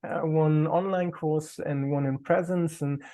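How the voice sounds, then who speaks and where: A man's voice: on the high side, steady tone, a little slow, mellow. A man with a German accent, a native German speaker, talking earnestly as a qigong teacher on a video call, sometimes searching for words.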